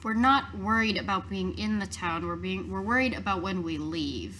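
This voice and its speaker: Female tabletop player: bright, forward mid-pitched voice, improvised roleplay, faint noise. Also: moderate pace.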